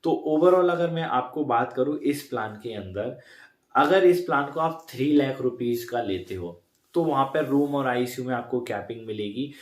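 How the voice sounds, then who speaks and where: A man's voice: medium pitch, animated, moderate pace, echoey room. A man casually explaining an insurance plan to his viewers, repeating points and correcting himself as he goes. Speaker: insurance advisor vlogger.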